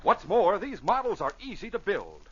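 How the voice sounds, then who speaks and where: A man's voice: high-pitched, big pitch swings, moderate pace, noisy background. A man announcing in scripted vintage radio style, with emphatic, punchy salesmanship. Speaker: announcer and narrator. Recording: dull vintage radio recording.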